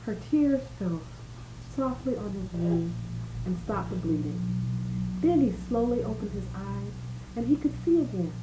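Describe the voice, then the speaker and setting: A woman's voice: mid-range voice, expressive, a little slow. A woman reading a bedtime story aloud expressively, with small explanatory asides as if to a child. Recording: roomy home recording with faint noise.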